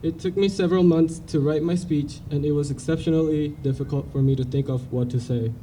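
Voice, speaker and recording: A young man with a Korean accent gives an earnest, halting speech and often restarts his words. His voice is somewhat high and very dark. It is heard from a distance over a light noise floor. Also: fairly even intonation. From South Korea. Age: young adult. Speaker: salutatorian cadet. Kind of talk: graduation speech.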